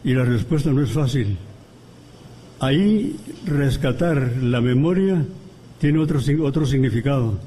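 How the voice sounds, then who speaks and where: Male lecturer, mellow tone, deliberate phrasing with pauses, scholarly commentary at a university auditorium. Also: medium pitch, animated, slight background noise, rough recording. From Guatemala. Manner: measured and deliberate, pausing between phrases. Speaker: guest commentator at a book presentation. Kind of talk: academic lecture.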